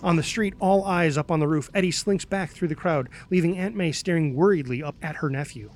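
High-voiced man narrating an action script. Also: a little fast, slight background noise. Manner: vivid, punchy, voices sound effects. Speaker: narrator reading stage directions. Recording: music clearly behind the voice.

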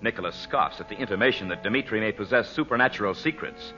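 A man's voice: lowish voice, fast talker, pushed and bright. An American man announcing and narrating in a theatrical, emphatic old-time radio style. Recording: dull old radio recording with music.

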